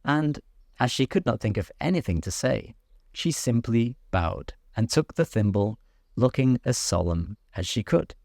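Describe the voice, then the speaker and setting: A man narrating a children's bedtime story in a soothing, measured, expressive way. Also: medium pitch, vocal fry, no room sound, studio quality.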